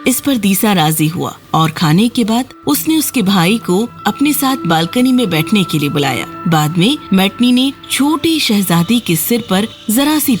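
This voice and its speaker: Lively woman narrating a children's fairy tale. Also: mid-range voice. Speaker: storyteller.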